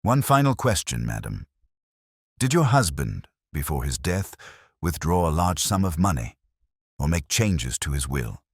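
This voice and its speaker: A man voicing a brisk Victorian detective in a terse, formal, probing way for a storybook. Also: a bass voice, big pitch swings, breathy edge, no room sound, studio quality, heavily acted. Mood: probing, intent.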